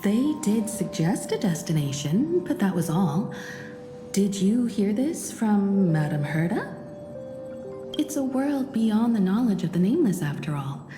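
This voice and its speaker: An actress playing a thoughtful, polite young explorer who asks inquisitive questions. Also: female voice, mid-range voice, big pitch swings, measured pace. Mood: curious. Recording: roomy reverb with game music.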